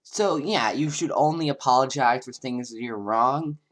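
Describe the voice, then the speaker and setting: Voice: medium pitch, normal speed. A commentator making mocking, sarcastic asides over a video clip.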